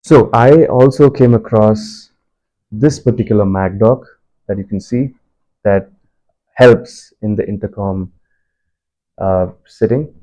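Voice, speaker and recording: Male reviewer, low-pitched with a very soft, relaxed tone, conversational and explanatory. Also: slow pace, long pauses, lo-fi sound. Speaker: product reviewer and motorbike commuter. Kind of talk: casual spoken product review.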